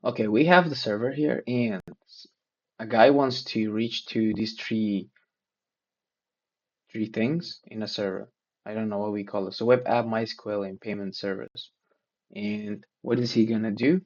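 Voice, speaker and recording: A man's voice: on the low side, steady tone, unhurried, lo-fi sound. Hesitant man, English not his first language. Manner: hesitant, restarts, searching for words. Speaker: learner sharing notes. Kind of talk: casual study vlog, thinking aloud.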